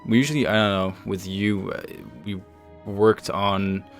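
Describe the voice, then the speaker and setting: A man hosting a developer livestream, explaining things casually in a measured, halting way. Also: fairly low pitch, fairly even intonation. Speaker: host and project lead on a developer livestream. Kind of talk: casual show-and-tell commentary over game screenshots. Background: faint music.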